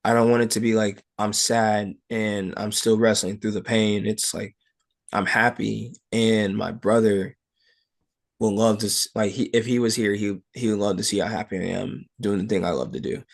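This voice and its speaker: A young man with a fairly low voice gives casual, personal answers in an interview. He speaks as an athlete, haltingly, often padding his sentences as he finds the next thought. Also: steady tone, vocal fry. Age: young adult. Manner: halting, frequent "like" and "you know". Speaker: wrestler being interviewed.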